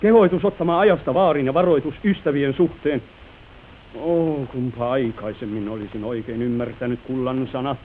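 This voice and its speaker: Man acting brooding landowner, mid-pitched, grave, declamatory, self-reproaching, old radio drama, thin sound. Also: a little hiss or hum, natural voice. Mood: bitter regret. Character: remorseful, brooding lieutenant losing his family manor. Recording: old narrow-band radio recording.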